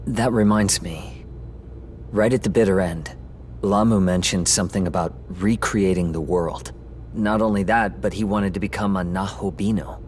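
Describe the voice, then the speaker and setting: An actor playing a composed, analytical young man who talks in a calm, reasoning way in a game cutscene. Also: sits low, moderate pace, a little hiss or hum, natural voice.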